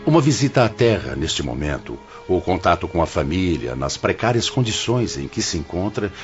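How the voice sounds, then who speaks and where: A man plays a wise, fatherly spirit who directs an afterlife hospital, in a very low voice. His solemn, formal counsel rises and falls expressively in audiobook drama style. The sound is dull and lo-fi, with music clearly underneath. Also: sing-song, moderate pace. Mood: gently firm.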